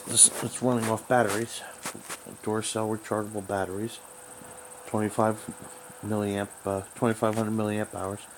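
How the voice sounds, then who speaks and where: Low-voiced man with noisy background. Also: little pitch movement. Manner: halting, rambling. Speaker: radio hobbyist. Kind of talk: casual product troubleshooting demonstration. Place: small room at home, indoors. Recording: noisy home recording with background hiss.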